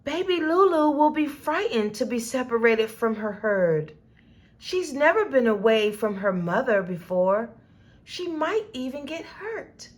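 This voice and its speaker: An earnest, caring zookeeper from a children's storybook, voiced by a woman reading aloud. She sounds fairly high and very expressive, like someone kindly taking charge. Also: measured pace. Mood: worried. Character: friendly, caring female safari park zookeeper.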